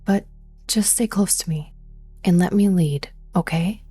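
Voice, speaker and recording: An actress playing a wary, secretive, capable woman in a sci-fi horror audio drama, breathy and often close to a whisper. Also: lowish voice, unhurried, dark tone, faint music behind. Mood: hushed, cautious.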